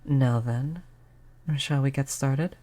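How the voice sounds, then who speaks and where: A performer voicing a gentle, polite vampire in an intimate roleplay audio, speaking in a soothing, conversational way at a medium pitch. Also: slow, lightly acted. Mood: gentle, reassuring. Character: gentle, reassuring vampire, eighty years old, eternally polite. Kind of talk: roleplay audio drama, intimate one-on-one talk to listener.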